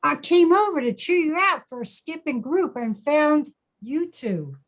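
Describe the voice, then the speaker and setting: Actress as an exasperated, worried best friend in a screen drama, slightly high voice with drawn-out, urgent delivery. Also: female voice, sing-song. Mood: anxious. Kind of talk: screenplay table read acting.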